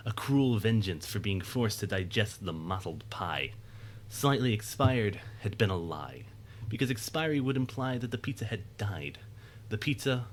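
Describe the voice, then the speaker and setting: A man reading scripted story narration for an audio drama in a measured, wry, literary manner. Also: lowish voice, slight background noise.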